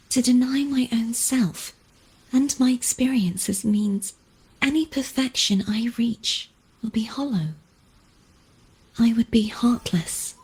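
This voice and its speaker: A woman playing a gentle, sorrowful but resolute young heroine in a video game, speaking earnestly in a breathy, half-whispered, halting voice. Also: a little high, exaggerated voice, rough recording. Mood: tender, resolute. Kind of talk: dramatic scripted game dialogue.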